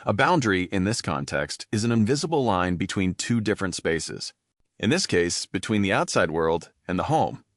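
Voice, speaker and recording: A man with a low voice narrates an educational podcast, his pitch rising and falling in an animated way. He pauses to explain new words simply. The sound is dry and close. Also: no echo. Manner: explains and defines vocabulary words plainly. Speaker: podcast host.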